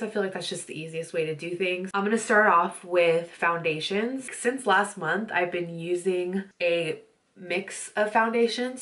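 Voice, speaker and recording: A woman's voice: on the low side, a bit bright. A young woman with a stuffy nose, chatting casually in a beauty vlog and rambling along apologetically as she films at home. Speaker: beauty vlogger. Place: home filming setup.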